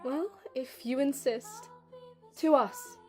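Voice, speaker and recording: A woman's voice: high-pitched, expressive, slow pace, faint music behind. A woman playing a modest, vulnerable young assistant who speaks hesitantly and adoringly in an audio drama. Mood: hesitant, wary. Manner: hesitant, self-effacing.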